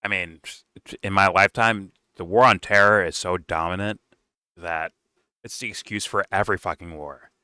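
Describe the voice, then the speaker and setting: A young man in his twenties reacts to a clip in animated, casual commentary that is peppered with swearing. His voice sits a little low but has a forceful edge. The sound is dry and close. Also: moderate pace, smooth, no room sound. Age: 20s. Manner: animated, swearing, laughing often. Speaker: reaction show host.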